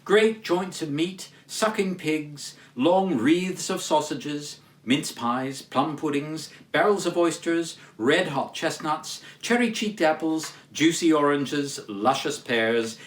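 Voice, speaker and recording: A man giving a dramatic literary reading as a storyteller, with theatrical, emphatic, measured phrasing. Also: on the high side. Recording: roomy echo, distant microphone.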